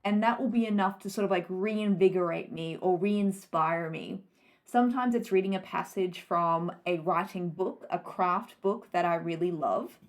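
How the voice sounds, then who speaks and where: A woman's voice: lowish voice, moderate pace. A woman from Australia, a writing coach in her thirties, answering viewers' writing questions in an encouraging, conversational way. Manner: warm encouraging, rhetorical questions. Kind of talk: Q&A advice talk to camera.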